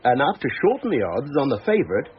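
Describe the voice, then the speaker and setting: An actor playing a precise, knowing racing brigadier in a crime drama, measured and formal as he explains. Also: mid-range voice, very animated, natural voice. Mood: knowing, explanatory. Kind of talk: British police drama acting. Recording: dull lo-fi audio with background hiss.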